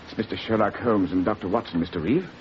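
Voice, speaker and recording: A man acting as a discreet household doctor in a vintage radio play. He is formal and deferential, with a fairly low voice under muffled, hissy sound. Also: quick pace, natural voice. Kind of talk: 1940s radio drama acting. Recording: muffled radio recording, heavy hiss.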